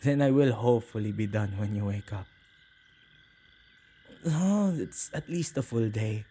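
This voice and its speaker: A man playing an earnest, tender male witch who protects ghosts, speaking softly and intimately in an ASMR roleplay. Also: on the low side, animated, a little slow, breathy edge. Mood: warm, gentle. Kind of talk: ASMR boyfriend roleplay acting.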